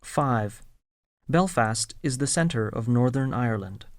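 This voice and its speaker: A man's voice: mid-range voice, takes it slowly, dry recording. Man reading a textbook script, dry sound. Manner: even, clearly enunciated.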